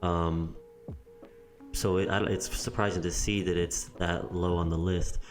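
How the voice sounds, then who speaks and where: A man's voice: deep voice, little pitch movement, measured pace, soft background music. A man co-hosting a casual podcast chat, rambling and restarting his sentences as he goes. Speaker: podcast co-host.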